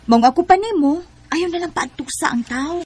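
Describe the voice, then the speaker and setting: A young Bisaya Filipino woman talks like a close friend. She is colloquial, practical and gently persuasive, in radio drama style. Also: high-pitched, animated, normal speed. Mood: encouraging.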